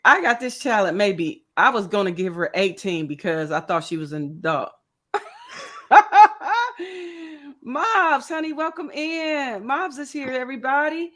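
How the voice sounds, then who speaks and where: A woman's voice: on the high side, very animated, a little slow, fairly bright tone. A woman in her fifties hosting a casual livestream, chatty and greeting viewers by name with frequent laughs. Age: 50s. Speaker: crochet channel host.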